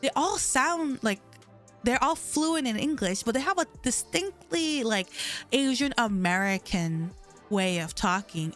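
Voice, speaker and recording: Female streamer, slightly high voice, slight lisp, mumbly and stumbling casual chat, low music bed. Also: a bit bright. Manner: mumbles and stumbles over words. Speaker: game streamer. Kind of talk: casual live-stream chat while playing.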